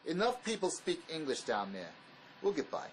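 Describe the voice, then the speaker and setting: A man's voice: a little high, sing-song, moderate pace. A man acts a pragmatic fugitive ex-convict in an audio drama, with widely swinging intonation. He is terse, bossy and dismissive. Faint noise sits behind the voice. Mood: bossy, dry.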